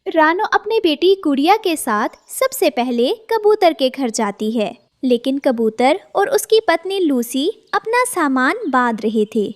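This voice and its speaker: A woman's voice: high voice, sing-song, a little slow, dry recording, clean studio sound. A woman narrating a scripted children's cartoon story.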